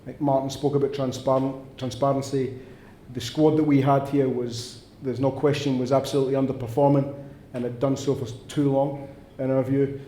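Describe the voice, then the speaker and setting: A man's voice: medium pitch, level tone, normal speed, dark tone, reverberant, slight background noise. A man with a Scottish accent, answering fans' questions on a panel in an earnest, emphatic, long-winded way. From Scotland. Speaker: football director on a panel. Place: indoor event room.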